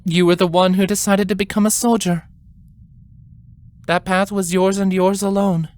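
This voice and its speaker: A man voicing a caring but stern older sister, a pony princess, speaking softly and earnestly in a fan fiction story. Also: sits high, little pitch movement, pitched above the performer's own voice, natural voice. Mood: kind, firm, sad. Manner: soft-spoken, deliberate, earnest. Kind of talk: fan fiction character reading.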